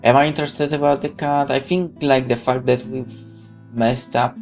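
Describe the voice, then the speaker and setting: A man doing a let's play, reading game text aloud and adding chatty, expressive commentary. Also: medium pitch, normal speed. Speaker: gaming YouTuber. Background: guitar music.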